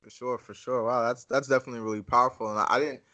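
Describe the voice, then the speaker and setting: Bright-voiced man hosting a relaxed interview. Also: medium pitch, racing, dull audio. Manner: relaxed, rambling questions. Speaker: host. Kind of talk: casual interview.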